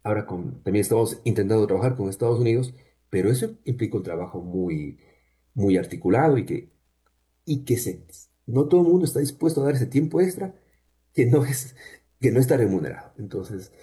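A man with an accent from Cuenca, Ecuador, a university researcher explaining things at a measured pace with frequent pauses as a guest in an interview. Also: mid-range voice, animated, warm tone. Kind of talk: conversational interview answers.

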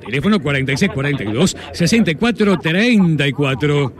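A man's voice: a little high, sing-song, brisk. A man reading scripted radio adverts as an announcer, stretching out slogans and business names.

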